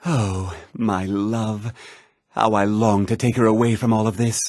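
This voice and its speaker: A man's voice: lowish voice, little pitch movement, measured pace, a little mellow, no room sound, clean studio sound, lightly acted. A man playing an ardent, smitten suitor in a video game, with a romantic, theatrical way of speaking. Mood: ardent, urgent. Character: smitten male suitor, ardent and scheming to elope. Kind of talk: video game character dialogue.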